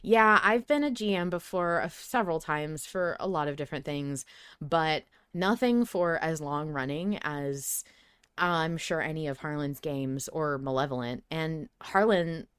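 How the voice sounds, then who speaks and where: A woman co-hosting a podcast, talking casually about a fandom in an animated, emphatic way and swearing freely. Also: low-pitched, a little slow, clear, resonant tone. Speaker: podcast co-host. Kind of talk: casual fan discussion.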